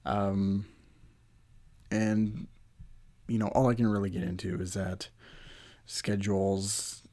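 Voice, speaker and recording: A man's voice: fairly low pitch, little pitch movement, slow pace, vocal fry, warm tone. A man hosting a solo podcast in casual, rambling, hesitant talk, his voice slightly nasal from a runny nose. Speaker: podcast host.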